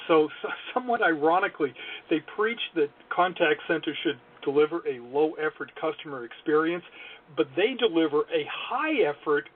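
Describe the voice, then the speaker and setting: A man's voice: a little high, lively intonation, moderate pace. A male consulting guest speaking earnestly and analytically in an interview, with wry self-deprecating asides. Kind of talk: podcast interview talk. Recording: tinny phone line.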